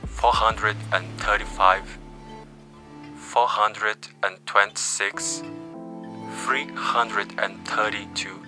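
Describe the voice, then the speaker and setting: Low man reciting slowly over music. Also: flat delivery. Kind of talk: slow counting voice-over.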